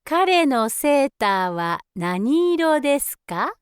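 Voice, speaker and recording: Careful language-course woman, lively pitch. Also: dry recording, studio quality. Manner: slow, careful, precise. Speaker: language course audio speaker. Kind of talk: scripted language-course prompts.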